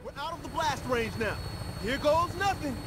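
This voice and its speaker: A man's voice: extremely high pitch, animated, normal speed. An actor playing a wry male resistance agent in a video game. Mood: tense, awed. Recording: loud music and aircraft noise.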